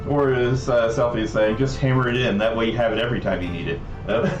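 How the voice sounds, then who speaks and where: A man hosting a casual livestream, talking in a rambling, halting way. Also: medium pitch, steady tone, dull audio. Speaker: livestream host. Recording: roomy echo, distant mic, background music.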